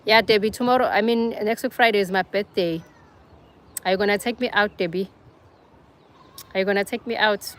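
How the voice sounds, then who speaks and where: A woman's voice: medium pitch, fairly quick, long pauses. Chatty South African woman streaming live. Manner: chatty, teasing, answers comments by name. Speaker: livestream host. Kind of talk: casual live chat with viewers.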